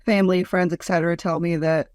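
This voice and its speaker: A woman in her forties with a deep voice, a comedian guest telling stories in a lively, mocking way. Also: quick pace, dry recording, clean studio sound. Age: 40s. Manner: lively, storytelling, mocking asides. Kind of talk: casual interview chat.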